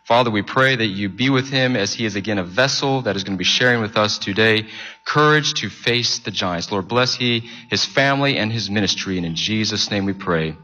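A man's voice: on the low side, a little fast, bright, forceful tone, muffled recording. A man hosting at a church, interviewing a guest and leading prayer with enthusiasm, often saying amen. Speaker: church host. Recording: long hall reverb.

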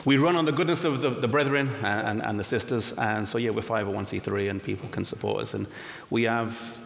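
A man from England with an English accent tinged with American, speaking modestly and with halting restarts as a guest in a church stage interview. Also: on the low side, creaky. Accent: English, mixed with American. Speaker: guest speaker, video ministry leader. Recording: echoey hall with long reverb.

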